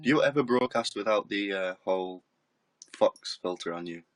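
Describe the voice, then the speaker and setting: A man calling into a livestream as a guest, chatting informally and a little haltingly. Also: fairly low pitch, crowd noise. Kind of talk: casual chat.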